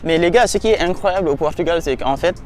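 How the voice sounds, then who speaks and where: A young man with a slightly high voice rambles in easy slang, calling his viewers "guys" as he walks outdoors. He records on a handheld camera, and faint music plays underneath. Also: fast. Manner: slangy, rambling, talks to viewers as "les gars". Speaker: vlogger advising students. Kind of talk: casual vlog chat. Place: outdoors by a waterfront landmark at sunset.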